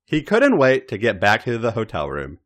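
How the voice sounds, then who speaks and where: Man, low voice, widely swinging intonation, dramatic story narration, theatrical emphasis, podcast reader. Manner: expressive, theatrical emphasis with pauses. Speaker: podcast host reading fan fiction.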